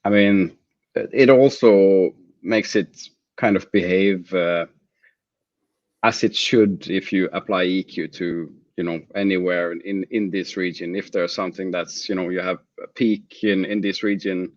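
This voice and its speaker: A man with a low voice gives technical answers as an interview guest. His phrasing is halting and measured. The recording is dry and a little dull. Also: steady tone, darkish tone, no room sound. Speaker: product manager, interview guest.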